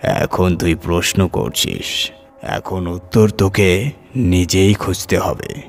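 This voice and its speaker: A man's voice: fairly even intonation, faint music behind, exaggerated voice. A man voicing a cryptic ascetic holy man who gives ominous commands in a horror story. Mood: cryptic taunting. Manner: slow, low, commanding warnings.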